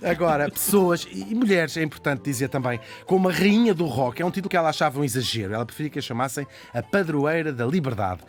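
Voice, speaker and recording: Brief: Portuguese male radio columnist, quick and animated, light music under the studio talk. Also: a little high. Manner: animated, laughing storyteller, quick asides. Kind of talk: lively radio biography chat.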